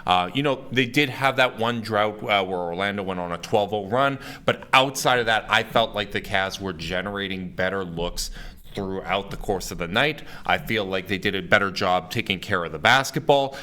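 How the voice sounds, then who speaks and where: Man, podcast host, raspy, a little hoarse, lowish voice, forceful tone, casual energetic sports talk. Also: normal speed. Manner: energetic, emphatic basketball analysis. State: slightly hoarse from cheering.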